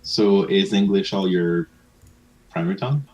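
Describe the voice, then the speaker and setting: Lowish-voiced man. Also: very animated, moderate pace, vocal fry, darkish tone, poor audio. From Quebec, Canada. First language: French. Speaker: player. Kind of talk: casual chat between players.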